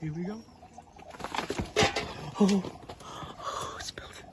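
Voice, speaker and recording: A storyteller voicing an excitable male tax collector who is nervous, breathless and full of apologies. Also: medium pitch, slow, whispered, pitched above the performer's own voice, lightly acted.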